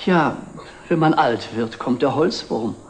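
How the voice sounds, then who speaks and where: An actor playing a dry, witty middle-aged antiques dealer in a 1960s TV drama, with polished sales patter. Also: male voice, mid-range voice, animated, unhurried, rough recording. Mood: wry, amused. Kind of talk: 1960s German TV drama acting. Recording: old TV film, faint noise.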